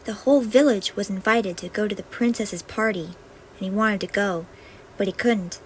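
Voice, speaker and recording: A woman's voice: mid-range voice, normal speed. A woman storyteller reading a scripted fairy-tale narration. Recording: faintly noisy home recording.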